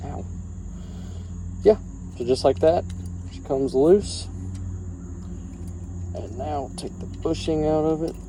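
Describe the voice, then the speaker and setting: A man's voice: a little high, slow pace, long pauses. A man chatting casually through a DIY truck job outdoors, talking in a rambling, folksy way and restarting his phrases as he works, recorded on a handheld camera. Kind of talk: casual how-to vlog. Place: outdoors beside a truck, crickets around. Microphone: handheld camera, no tripod. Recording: noisy outdoor recording with crickets.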